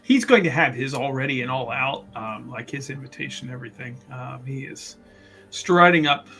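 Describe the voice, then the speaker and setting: A man's voice: mid-range voice, moderate pace, vocal fry, sharp tone, soft background music. A man playing a tabletop roleplaying game, casually improvising a description of his character in a rambling, hesitant way.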